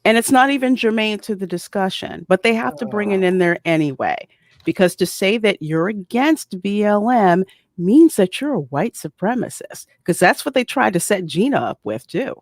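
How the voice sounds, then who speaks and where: A man's voice: high voice, lively intonation, moderate pace, no room sound, studio quality. A man giving casual, opinionated commentary as a podcast panelist.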